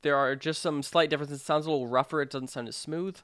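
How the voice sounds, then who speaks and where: A man narrating a software tutorial in an informal, explanatory way with hesitant phrasing. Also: fairly high pitch, fairly even intonation, fast talker, dry recording, clean studio sound. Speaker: sound design tutorial presenter.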